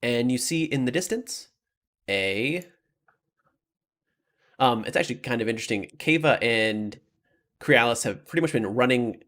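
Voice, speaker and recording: A man improvising tabletop game narration as game master, informal and halting in delivery. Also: medium pitch, animated, a bit bright.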